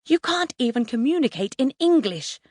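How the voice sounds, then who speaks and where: A woman voicing a furious mother at her wits' end in a story, with exasperated, emphatic shouting. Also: extremely high pitch, wildly swooping pitch, takes it slowly, no room sound, studio quality, pitched above the performer's own voice, heavily acted. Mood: outraged.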